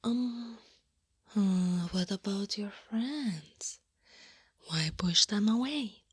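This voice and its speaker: A woman from Italy playing a towering, teasing, sly and commanding Krampus woman in a soft, whispery ASMR roleplay. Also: medium pitch, no room sound, clean studio sound, heavily acted. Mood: probing, stern. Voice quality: breathy, often whispering. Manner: slow, soft, deliberate, teasing. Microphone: close microphone, dry.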